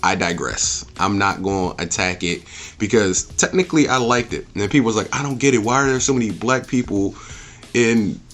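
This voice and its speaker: A man hosting an opinionated review rant, speaking in an emphatic, blunt, profane way and repeating phrases for punch. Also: medium pitch. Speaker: video game movie reviewer and channel host.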